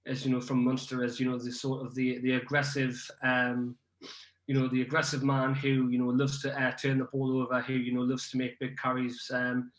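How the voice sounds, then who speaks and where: A man's voice: mid-range voice, monotone, fairly quick. A young man chatting about rugby as a podcast guest, hesitant, with many ums and restarts. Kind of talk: casual podcast chat. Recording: echoey room.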